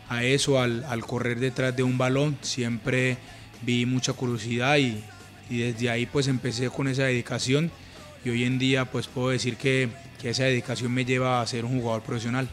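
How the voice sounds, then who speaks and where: Earnest, flat-voiced Colombian man. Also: medium pitch, moderate pace, faint music behind. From Urabá, Colombia. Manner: earnest, calm, many small restarts and fillers. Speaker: interviewed footballer. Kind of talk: reflective interview answers. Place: living room, on a sofa indoors. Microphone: handheld mic held at chest.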